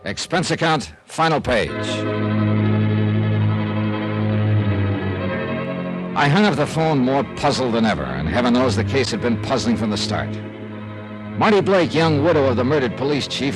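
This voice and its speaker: An actor playing a shrewd, skeptical insurance investigator in a 1950s American radio drama, with clipped, wry, hard-boiled delivery. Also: male voice, mid-range voice, animated, a little fast, music in the background, lightly acted. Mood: brisk, puzzled.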